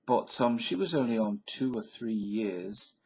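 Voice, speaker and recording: A middle-aged man talking slowly through a rambling solo video, his voice gravelly and a little husky. He is blunt and opinionated and keeps drifting into side remarks. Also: lowish voice, lively intonation. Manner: blunt, opinionated, wandering asides. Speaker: vlogger. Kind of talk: rambling casual monologue.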